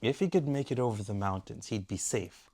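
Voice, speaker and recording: A man's voice: lowish voice, a little fast, warm tone. A man reading a bedtime story aloud in the role of a father.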